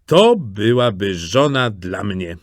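A lovestruck little tin soldier from a storybook, voiced by a male narrator muses modestly to himself. The delivery is lively and varied in pitch, in a dry, close sound. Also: takes it slowly, no room sound. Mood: wistful, resolved.

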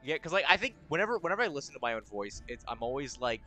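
A man with a deep voice chatting casually on a live game stream, rambling and stop-start, laughing often. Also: big pitch swings, very fast, pushed and bright, faint music behind. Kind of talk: casual live-stream chat while gaming.

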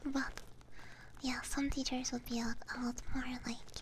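Female ASMR livestreamer: high, breathy whisper close on the mic, slangy and self-deprecating, faint background noise. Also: little pitch movement, a little slow. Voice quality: breathy, mostly unvoiced whisper. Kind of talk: whispered ASMR, casual chat with viewers. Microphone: close binaural ASMR microphone.

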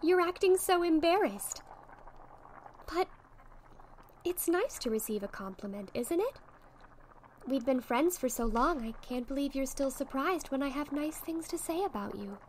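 A woman playing a sweet, encouraging young witch in an ASMR roleplay, speaking in a gentle, soothing manner. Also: high voice, animated, unhurried, long pauses, a little hiss or hum. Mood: reassuring. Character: sweet, encouraging young witch tutoring her shy friend. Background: soft potion bubbling and fizzing effects.

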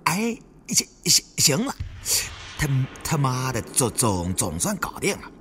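A man's voice: medium pitch, huge pitch swings, a little slow, pushed and bright, a little hiss or hum, heavily acted. A man voicing a jittery young male tomb raider with a heavy stutter in an audiobook. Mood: relieved, doubtful.

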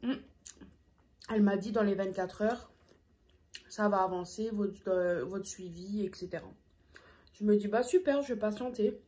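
A woman's voice: mid-range voice, unhurried, pauses often, dark tone. A young woman telling a story casually while eating on camera, chatting with lots of hesitant fillers, in a small room close to the camera. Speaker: food vlogger.

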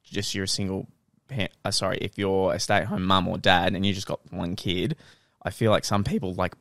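A young Australian man co-hosting a casual podcast, swearing freely and getting loud and high when joking, close on a studio mic in a living room. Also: steady tone, normal speed, gravelly, dry recording. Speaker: podcast co-host. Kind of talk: casual chat. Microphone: studio mic on boom arm.

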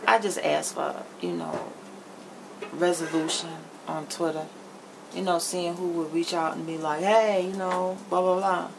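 A middle-aged woman vlogger with a lowish, gravelly voice talks bluntly and emphatically to camera. She is recorded in a home kitchen on a camera mic, with some background noise. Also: expressive, a little slow. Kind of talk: candid chit-chat to camera while cooking.

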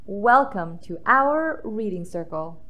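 A woman hosting an expressive read-aloud for young children, addressing them directly in an animated way. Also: medium pitch, slow pace. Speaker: children's story reader and host.

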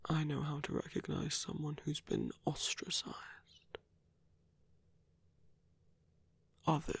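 Seductive villain acted by a man, ASMR roleplay, gravelly breathy half-whisper, teasing, coaxing, close and dry sound. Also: on the high side, flat delivery, no room sound. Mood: intimate, probing. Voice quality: breathy, often dropping into whisper. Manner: slow, teasing, coaxing, with long pauses. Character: smooth seductive male villain tempting a captive hero.

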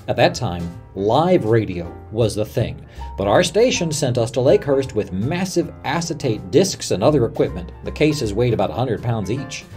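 A man's voice: mid-range voice, warm tone. A man with an American accent giving a scripted first-person recollection as a radio reporter.

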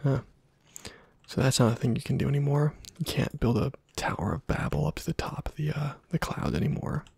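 A man giving casual, playful ASMR game commentary in a hushed, breathy half-whisper, muttering to himself close to the microphone. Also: mid-range voice, big pitch swings, fairly quick, no room sound. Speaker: gaming video host.

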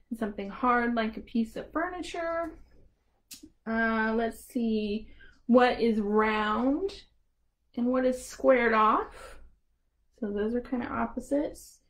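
A woman's voice: on the high side, creaky, low-quality recording. An American woman hosting a storytime for young children as a librarian, playful and encouraging, speaking in simple phrases.

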